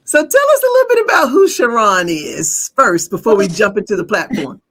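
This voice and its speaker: A woman's voice: mid-range voice, huge pitch swings, fairly quick, fairly bright tone, husky. A woman hosting a podcast in a casual, effusive way, laughing often. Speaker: podcast host. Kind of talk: casual chat.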